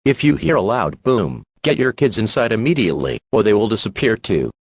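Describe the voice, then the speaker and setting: A man reading a police emergency message in a flat, mechanical monotone. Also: lowish voice, brisk, vocal fry, breathy edge, no room sound, studio quality. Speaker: emergency alert announcer.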